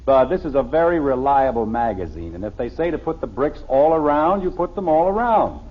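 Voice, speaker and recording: An actor playing a stubborn, defensive middle-aged American suburban father in a blustery, exasperated 1950s radio sitcom style, with a husky voice. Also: medium pitch, big pitch swings, brisk. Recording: dull, lo-fi old radio recording.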